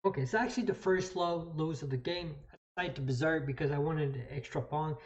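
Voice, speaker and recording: A French Canadian speaker whose first language is French, casually explaining chess moves on a live stream, halting and repeating phrases while thinking aloud. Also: medium pitch, highs cut off. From New Brunswick, Canada.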